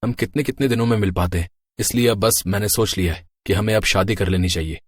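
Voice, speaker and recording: An actor playing a placating, secretive young husband in a horror storybook, speaking in a coaxing, explanatory way. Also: male voice, fairly low pitch, quick pace, no room sound, natural voice. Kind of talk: acted horror storybook dialogue in Hindi.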